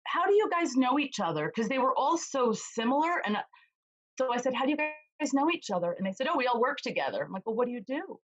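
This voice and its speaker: Woman, loud voice, animated storyteller, casual interview, dull sound. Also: high voice, quick pace. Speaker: real estate agent guest.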